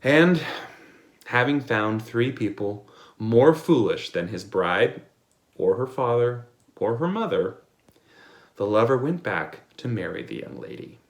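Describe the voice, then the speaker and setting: A man's voice: medium pitch, big pitch swings, unhurried, echoey room. A man reading fairy tales aloud in a playful storytelling manner. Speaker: storybook reader.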